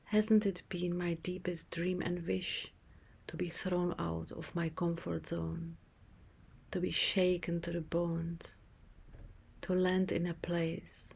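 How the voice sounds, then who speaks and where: Hushed, slow woman guiding relaxation. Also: fairly low pitch. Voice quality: soft, breathy half-whisper. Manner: slow, hushed, soothing, with long pauses. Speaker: yoga nidra teacher. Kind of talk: guided relaxation meditation. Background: music.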